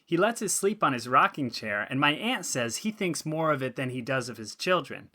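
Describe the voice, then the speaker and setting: Earnest schoolboy with swooping delivery. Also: male voice, mid-range voice, sing-song, pushed and bright, full character voice. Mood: earnest, proud. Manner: declaiming, deliberate, with childish grammar. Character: earnest, naive schoolboy reading his composition aloud. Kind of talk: audio drama letter reading.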